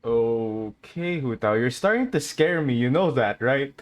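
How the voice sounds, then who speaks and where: A game streamer reacting casually out loud and talking back to the characters. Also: medium pitch, expressive, unhurried. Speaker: streamer playing a video game.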